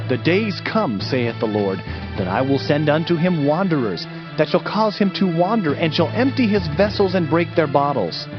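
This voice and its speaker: A man reading scripture as a dramatized audiobook in a solemn, declamatory manner. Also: on the high side. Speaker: audiobook narrator. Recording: dull lo-fi audio under music. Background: music.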